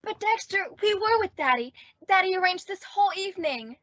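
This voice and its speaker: A woman's voice: very high-pitched, animated, brisk, a bit bright, no room sound, highs cut off. A woman playing a dramatic, lovestruck and earnest teenage girl in an old-time radio comedy. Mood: distraught, pleading.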